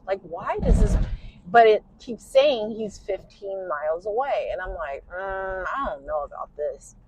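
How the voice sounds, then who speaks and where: A tired woman with a husky, smoky voice tells a personal story in casual chat while driving in a car at night. She rambles and uses lots of "you know" fillers. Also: mid-range voice, animated, measured pace, darkish tone, slight background noise, poor audio. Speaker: podcast host.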